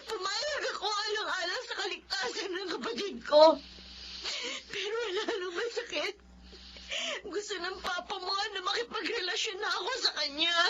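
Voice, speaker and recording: A woman's voice: very high-pitched, normal speed. An actress playing a distraught, wronged young woman, crying through intense melodrama. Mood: angry, anguished. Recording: noisy drama recording.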